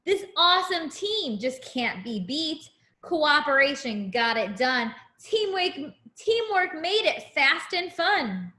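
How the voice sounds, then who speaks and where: A woman reading a picture book aloud to young children as a teacher, in an expressive, deliberate way with simple explanations. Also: sits high. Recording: echoey room.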